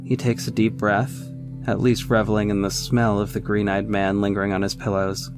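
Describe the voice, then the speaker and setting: A man's voice: fairly low pitch, level tone, normal speed, music in the background, close to an everyday voice. A man narrating an audio drama as its reflective hero, in scripted storytelling style. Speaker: narrator and protagonist.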